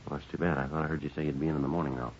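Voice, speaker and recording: Deadpan american 50s radio cop, super low voice, fast and clipped, dull old radio sound. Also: male voice, noisy background. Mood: businesslike. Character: stoic, businesslike male police detective sergeant. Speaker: lead actor and narrator. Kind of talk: 1950s radio police drama acting. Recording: muffled 1950s radio recording.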